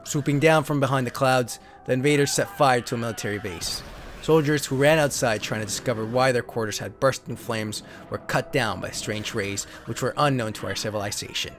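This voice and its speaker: A man's voice: mid-range voice, brisk, a little hiss or hum. A man reading a retro sci-fi story as a theatrical, solemn narrator. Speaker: host and reader.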